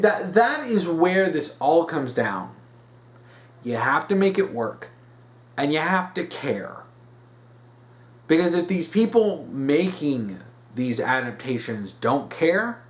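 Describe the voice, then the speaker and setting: A man hosting a solo opinion video, speaking off the cuff in an emphatic, halting way and repeating himself to stress his points. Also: fairly high pitch. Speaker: host of a weekly topic video series. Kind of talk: unscripted solo opinion commentary.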